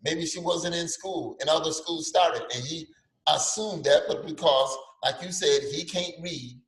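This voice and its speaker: An older man riffs sarcastically on a casual podcast, tripping over his words with quick restarts. His voice sits a little high for a man. The sound rings in an echoey room. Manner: animated, sarcastic, stammering restarts. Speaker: podcast co-host. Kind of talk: casual opinionated chat.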